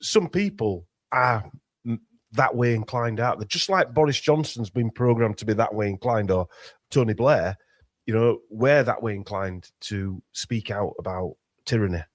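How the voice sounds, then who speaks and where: A man's voice: fairly low pitch, normal speed, dry recording. A man with a Yorkshire accent talking at length as an author guest in an interview, with many fillers and restarts. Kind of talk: conversational interview.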